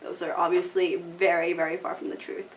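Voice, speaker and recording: A woman's voice: lowish voice, flat delivery, brisk. A young woman student speaking conversationally in an interview, peppering her points with "like". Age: young adult. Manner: conversational, frequent "like". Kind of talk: casual interview. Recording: distant mic with faint room noise.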